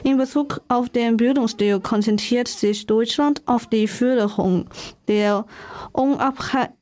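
A woman from Shanghai with a Chinese accent, a guest on a student radio show, halting and restarting words as she reads. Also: a little high, darkish tone. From Shanghai, China. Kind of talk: student radio show, reading prepared answers.

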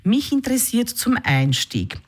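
A woman's voice: on the high side, sing-song, a little slow. A woman interviewer asking formal, articulate opening questions.

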